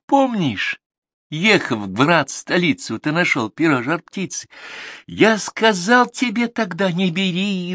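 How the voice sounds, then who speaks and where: A man's voice: a little high, no echo, studio quality, voice pushed up, heavily acted. A man voicing a small, kind and wise humpbacked horse comforting its friend. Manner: gentle, coaxing.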